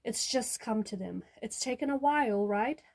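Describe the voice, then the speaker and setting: A woman's voice: fairly high pitch, normal speed, a little mellow. A woman giving an intuitive tarot reading straight to the viewer, restating each point in several ways for emphasis. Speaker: tarot reader.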